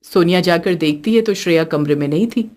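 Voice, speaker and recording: A woman's voice: lowish voice, brisk, darkish tone. A woman narrating a scripted story as a storyteller.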